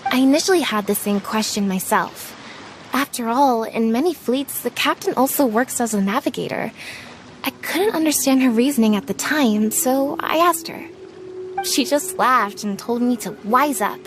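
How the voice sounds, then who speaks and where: An actress playing a studious young female ship's navigator who explains things politely and gently, in a game's dialogue. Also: high voice. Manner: soft, polite and explanatory, with light laughs. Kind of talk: game character dialogue. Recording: game audio with faint background music.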